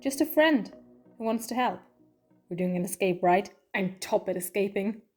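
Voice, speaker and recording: Casting: actress as breezy, cheeky female alien adventurer for audio drama, very animated intonation, faint music underneath. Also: mid-range voice, normal speed, close to an everyday voice. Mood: breezy, friendly. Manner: chatty, quirky, breezy. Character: playful, cheeky, restless alien time traveller woman.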